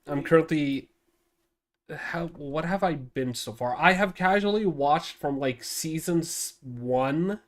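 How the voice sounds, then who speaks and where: A man's voice: on the high side, takes it slowly. Nasal man thinking aloud on stream. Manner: rambling, thinks aloud with many restarts. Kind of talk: casual livestream talk while editing video.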